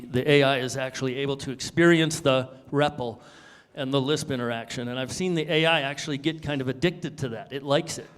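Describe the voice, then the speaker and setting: Panelist, male, mid-pitched, explanatory, long hall reverb. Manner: explanatory, thinking aloud. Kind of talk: panel discussion.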